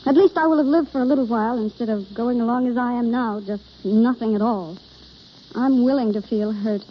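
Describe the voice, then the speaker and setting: A woman's voice: a little high, a little fast, close to an everyday voice. An actress playing a shy, earnest young woman yearning for romance in 1930s radio drama style, confiding and hesitant. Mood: resolute, nervous. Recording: scratchy, muffled old radio broadcast.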